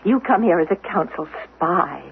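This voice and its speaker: Husky, fairly high defensive wife, sardonic, indignant, thin phone-like 1970s radio play recording. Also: female voice, expressive, moderate pace, heavily acted. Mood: scornful, indignant. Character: guarded, indignant wife and mother in future society. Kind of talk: 1970s radio drama acting.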